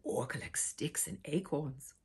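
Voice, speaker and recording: A woman in her sixties reading a children's picture book aloud theatrically, dramatic and expressive, dropping into breathy whispers. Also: low voice, a little slow. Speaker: storyteller, host. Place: small furnished room indoors with bookshelves.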